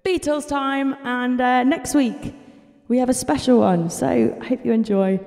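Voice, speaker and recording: A woman's voice: sits high, unhurried, in a large hall. Chatty young woman. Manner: chatty and relaxed, sings with piano. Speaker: singer-songwriter YouTuber. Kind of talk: casual chat and singing at the piano. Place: small bedroom at home. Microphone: studio mic on a stand. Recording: loud piano playing behind.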